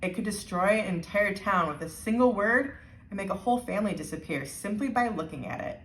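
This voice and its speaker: A woman voicing a grave old island handyman telling a storybook tale in a measured way. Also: mid-range voice, fairly bright tone, distant mic, lightly acted. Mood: grave, hushed. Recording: roomy, echoing indoor sound.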